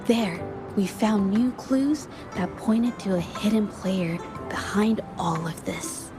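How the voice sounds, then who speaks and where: A woman playing a polite, thoughtful young female investigator in a video game, speaking in a measured, gentle, analytical way. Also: medium pitch, close to an everyday voice. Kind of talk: video game story voice acting. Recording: music under the voice.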